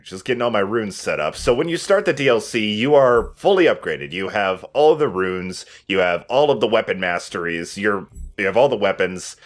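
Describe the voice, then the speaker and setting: A man's voice: lowish voice, lively intonation, normal speed, few pauses. A man giving casual, joking and sometimes profane game commentary as the host of a let's play.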